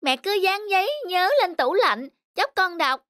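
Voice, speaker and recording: Sulky, high-voiced schoolboy. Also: female voice, animated, moderate pace, a bit bright. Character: lonely, stubborn schoolboy left home alone. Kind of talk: cartoon voice acting.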